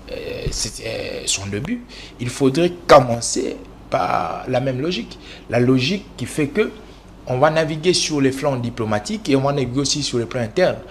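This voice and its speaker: A man's voice: medium pitch, a little hiss or hum. A man from the Congo speaking as a political analyst in an interview, lecturing with many fillers and restarts. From Congo (DRC). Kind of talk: interview answers.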